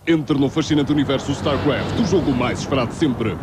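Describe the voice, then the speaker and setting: A man's voice: mid-range voice, brisk, over loud music. A man giving dramatic scripted narration as a game promo announcer.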